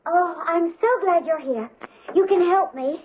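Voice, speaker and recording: A woman's voice: extremely high pitch, unhurried. An actress playing a young bride-to-be in a 1970s radio drama, dreamy and sweet, with a girlish, earnest manner. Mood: searching. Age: young adult. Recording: thin lo-fi old radio broadcast.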